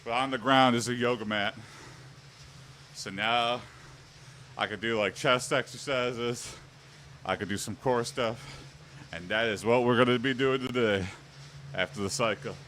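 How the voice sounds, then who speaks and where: Bright-voiced man chatting on a home stream. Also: mid-range voice, unhurried, pauses often, a little hiss or hum. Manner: chatty, rambling, answers viewers. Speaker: livestream host. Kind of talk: casual livestream chat while exercising. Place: home room with an exercise bike. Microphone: standing mic a little way off.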